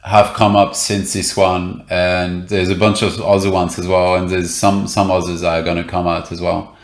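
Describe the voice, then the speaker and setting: Low-voiced man, hesitant, echoey room. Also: level tone, moderate pace. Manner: hesitant, many fillers and restarts. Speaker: reporter guest. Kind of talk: interview conversation.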